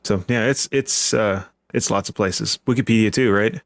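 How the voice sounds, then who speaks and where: A male podcast host with a creaky edge to his voice. He chats casually in an easygoing, jokey manner, and the recording is close and dry. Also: lowish voice, fairly bright tone, dry recording. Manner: easygoing, jokey, quick to chuckle. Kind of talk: casual chat.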